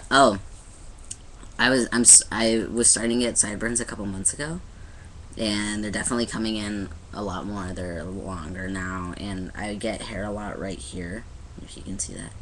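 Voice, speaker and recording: A man's voice: lowish voice, animated, normal speed, bright, forceful tone, slight background noise, poor audio. A young man talking casually to his camera in a personal video diary, rambling with many restarts and fillers. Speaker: vlogger. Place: indoors in front of a light.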